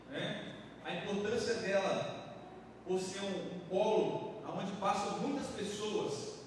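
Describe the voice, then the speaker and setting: A male pastor from Brazil preaches in a chatty, conversational style, his voice sitting fairly high for a man. He is picked up from a distance, with a long reverberant church hall tail. Steady room noise sits underneath. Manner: conversational preaching, frequent tag questions to the congregation. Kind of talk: sermon. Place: church sanctuary. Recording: echoey church hall from far off with background noise.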